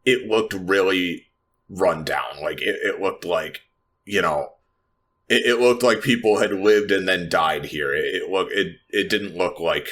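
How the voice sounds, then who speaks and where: A man with a deep but bright, forward voice talks casually as a player in a tabletop roleplaying game. He is tentative, restarting phrases as he works out what his character would do. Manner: tentative, halting, repeats words while thinking aloud. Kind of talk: casual improvised game talk.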